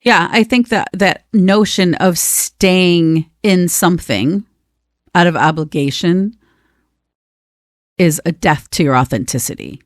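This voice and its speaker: A woman's voice: on the low side, slow pace, dry recording, clean studio sound. A woman co-hosting a conversational personal-growth podcast, speaking in a reassuring, emphatic way and drawing out key words. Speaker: podcast co-host.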